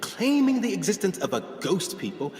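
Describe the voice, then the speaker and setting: An actor playing a proud, passionate 1920s explorer and scholar in a radio play, speaking in oratorical style. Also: male voice, high-pitched, very animated, normal speed, a little mellow. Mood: fervent. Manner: declamatory, oratorical. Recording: echoey hall with long reverb.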